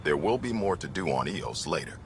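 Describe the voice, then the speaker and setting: An actor playing a plain-spoken, hardworking colony mayor in a space game, modest and down-to-earth. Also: male voice, a bass voice, big pitch swings, a little fast, a little hiss or hum, heavily acted. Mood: steady, eager. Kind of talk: video game cutscene acting.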